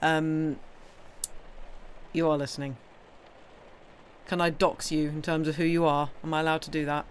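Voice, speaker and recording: A woman's voice: deep voice, little pitch movement, normal speed, pauses often. British female streamer, informal and playful, meandering self-interrupting chat, slight background noise. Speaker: livestream host and reader. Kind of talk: casual livestream chat.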